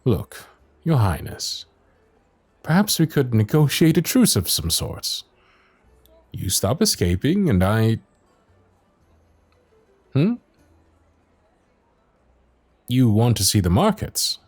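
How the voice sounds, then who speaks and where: A man's voice: medium pitch, very animated, slow pace, long pauses. A man playing a loyal, protective royal knight in a fantasy ASMR roleplay, speaking in courtly phrases, both teasing and earnest. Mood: fond, wry. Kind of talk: ASMR roleplay acting, fantasy audio drama.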